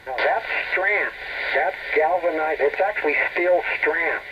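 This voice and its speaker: A man chatting technically as an amateur radio operator over two-way radio, halting and repeating words as he explains. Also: fairly high pitch. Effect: two-way radio transmission. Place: heard over a radio link. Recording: dull, noisy two-way radio signal.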